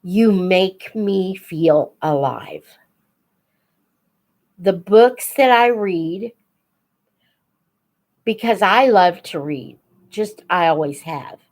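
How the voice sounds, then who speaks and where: An older woman chatting casually as a live host for young viewers, in an unhurried, reflective, folksy manner. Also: fairly low pitch, animated, darkish tone. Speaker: host of a children's book read-aloud channel.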